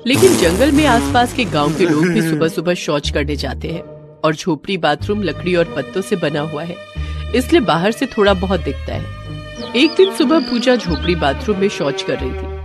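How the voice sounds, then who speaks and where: A woman tells a children's cartoon story with an expressive, dramatic delivery. Her pitch swings in a lively way. A steady music bed plays under her voice. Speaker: storyteller voicing every character. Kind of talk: scripted children's story narration.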